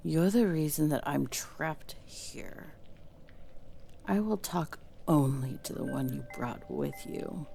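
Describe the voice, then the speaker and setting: A woman's voice: low voice, expressive, slow, creaky, dark tone, soft background music, heavily acted. A woman playing a bitter, mocking imprisoned dragon soul in a dramatic audio roleplay, with a theatrical, taunting delivery. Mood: cold, suspicious.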